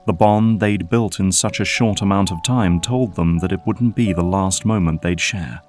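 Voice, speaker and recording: Low voiced guy narrating an audio drama, scripted, bit of music behind. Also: moderate pace, darkish tone.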